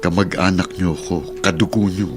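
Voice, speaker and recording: A man with a Filipino accent playing a kindly, selfless uncle in a radio drama, gently and sincerely reassuring. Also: low voice, steady tone, moderate pace, over music, lightly acted. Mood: gentle, reassuring. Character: kindly, selfless middle-aged uncle and guardian.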